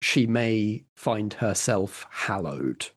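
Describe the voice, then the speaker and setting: A man's voice: lowish voice, animated, drawn-out words. Man narrating as a game master. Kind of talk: tabletop roleplay narration and prompts.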